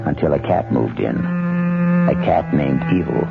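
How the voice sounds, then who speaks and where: A man hosting a 1970s radio drama, narrating in a theatrical, deliberate and ominous way. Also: very deep voice, animated, creaky. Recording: dull old radio broadcast, loud music.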